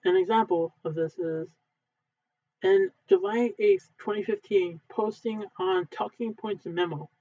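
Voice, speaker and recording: A man reading a textbook aloud in a halting way, stumbling and correcting himself as he goes. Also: fairly even intonation. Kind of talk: unedited read-aloud of a textbook. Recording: thin phone-like low-fidelity sound.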